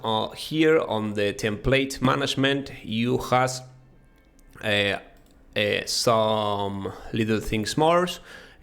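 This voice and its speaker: A man from Argentina with an Argentine Spanish accent, whose first language is Spanish, giving a halting, casual walkthrough of software with many fillers and restarts. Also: medium pitch, creaky. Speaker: web design course creator.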